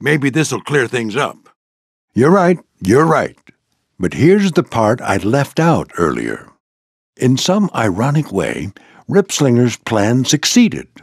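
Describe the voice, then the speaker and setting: An older American man voicing a proud, gruff old military plane who mentors a squadron in a video game, with a gravelly voice and a commanding, folksy manner. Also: mid-range voice, wildly swooping pitch, slow, no room sound, studio quality, exaggerated voice. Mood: wry, confident. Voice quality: gravelly, husky. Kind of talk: scripted video game character lines.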